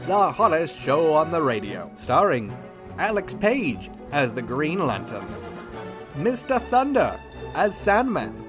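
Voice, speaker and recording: A man's voice: on the high side, big pitch swings, slow pace, music in the background. Showy old-time radio announcer, man. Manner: showy, upbeat, theatrical.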